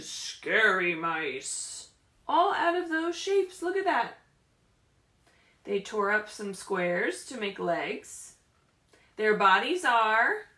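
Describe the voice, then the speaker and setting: A woman reading and chatting to young children as a story time leader, animated and often prompting them with questions. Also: high voice, reverberant. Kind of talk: read-aloud picture book storytelling for young children. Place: indoors at home.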